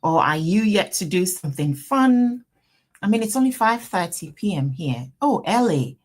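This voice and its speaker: A woman from Ghana with a Ghanaian accent, an attorney hosting a live Q&A stream from her office, greeting viewers warmly and repeating herself as they join. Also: mid-range voice, big pitch swings, moderate pace. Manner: upbeat, repetitive, greeting viewers by name and place. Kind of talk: casual livestream chat.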